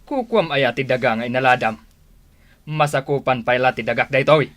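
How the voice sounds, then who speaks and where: An actor with an Ilocano accent playing a greedy, scheming older brother in a radio drama, mocking and laughing. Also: male voice, medium pitch, fairly quick, fairly bright tone, close to an everyday voice. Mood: scornful. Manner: mocking, frequent sneering laughs.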